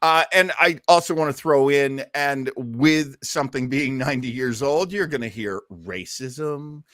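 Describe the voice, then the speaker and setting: A man's voice: mid-range voice, measured pace, a bit bright, no echo, clean studio sound. A man hosting a casual live online show in an effusive, folksy way with plenty of exclamations. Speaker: host of an online listening show.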